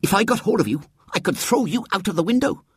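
A man's voice: high-pitched, very animated, fast talker, breathy edge, no room sound, studio quality, pitched above the performer's own voice, heavily acted. A man voicing a cheeky, self-assured young boy in a storybook, eager and childishly frank. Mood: hostile.

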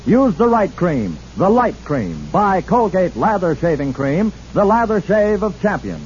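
A man announcing a radio commercial in a brisk, upbeat salesman manner. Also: sits high, very animated, darkish tone. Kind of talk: read commercial. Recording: muffled vintage radio, faint hiss.

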